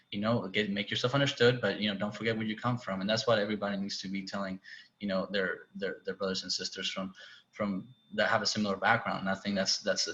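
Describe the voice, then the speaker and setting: A man from Spain with a Spanish accent speaks on a video call that sounds dull and lo-fi. His voice sits low but carries a bright, forceful edge. He talks in halting bursts, often restarting and filling gaps with "you know.". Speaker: panelist. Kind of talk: reflective town hall discussion.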